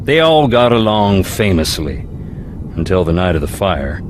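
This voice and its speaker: A man's voice: deep voice, big pitch swings, moderate pace, a little hiss or hum. A man playing a guarded, brooding country man in a video game, plainspoken. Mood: somber. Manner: laconic, plainspoken, folksy. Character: laconic, brooding rural Southern man, regretful and guarded.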